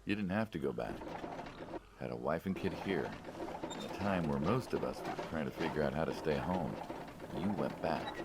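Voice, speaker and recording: A man playing a wry, needling mob hitman in a game, gravelly and probing, like an old friend asking questions. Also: very deep voice, lively intonation, moderate pace, heavy background noise. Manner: wry, probing, drawling sarcasm. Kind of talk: naturalistic video game dialogue.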